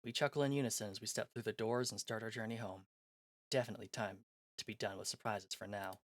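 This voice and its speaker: A man streaming a visual novel, reading its text aloud and chatting between scenes in an animated, self-mocking, jokey way. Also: lowish voice, fast, no room sound. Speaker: game streamer. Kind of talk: live-stream chat, reading a game aloud.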